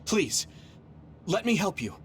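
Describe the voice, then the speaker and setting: An actor playing an earnest, idealistic young platoon commander in an English game dub, with a polite, sincere way of talking. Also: male voice, high-pitched, big pitch swings, slow pace, full character voice. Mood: earnest, urgent. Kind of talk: English-dubbed video game cutscene acting. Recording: music behind the voice.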